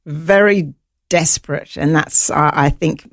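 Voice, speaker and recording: Woman, New Zealand accent, gravelly, dry close sound, earnest and halting with fillers. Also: sits low, no echo. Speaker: guest. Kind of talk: personal testimony interview.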